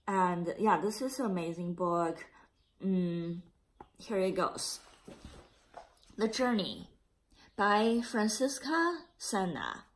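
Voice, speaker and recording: A woman reading a children's picture book aloud in a careful, slightly halting way. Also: mid-range voice, rough recording. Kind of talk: read-aloud storytelling.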